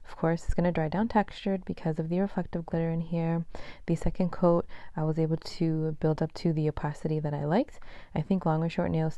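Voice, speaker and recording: A low-voiced woman from Boston with a faint Boston accent, talking casually through a product review. Her tone is dark and gravelly, full of ums and likes. The recording is dry and a little dull. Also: no room sound. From Boston, USA. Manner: chatty, frequent ums and likes. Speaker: nail polish swatch vlogger.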